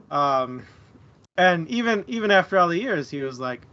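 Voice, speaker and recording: A man's voice: high-pitched, animated, slow, a bit bright, highs cut off. A man joining a casual book discussion, joking at his own expense and laughing often. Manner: joking, self-mocking, giggly.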